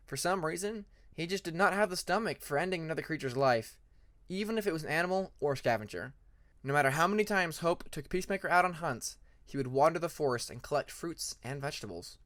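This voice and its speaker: A man's voice: fairly high pitch, a bit bright, no room sound, studio quality. A man narrating scripted fiction as an audiobook reader, with a dramatic storytelling manner.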